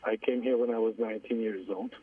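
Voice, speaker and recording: A man in his forties with an Iranian Armenian accent, calling in to a radio show, hesitant and halting, repeating his words. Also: lowish voice, steady tone, no echo. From Iran. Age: 40s. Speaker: caller. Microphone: phone line. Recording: distant husky phone line.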